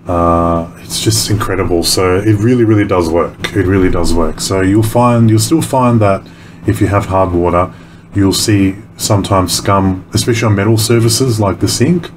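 A man casually explaining his soap making in a kitchen, relaxed and chatty with many pauses and ums. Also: low-pitched, echoey room, noisy background. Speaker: soap maker. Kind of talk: casual how-to narration.

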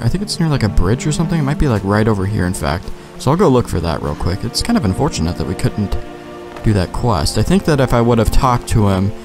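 A man giving casual, sweary let's play commentary, thinking aloud in a laid-back way. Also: fairly low pitch. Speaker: gamer, let's play host.

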